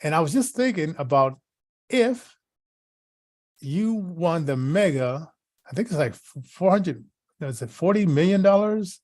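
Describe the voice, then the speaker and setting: A man co-hosting in casual, rambling chat, halting as he searches for words and repeats himself. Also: on the high side, animated, a little mellow, no room sound, studio quality. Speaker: podcast co-host.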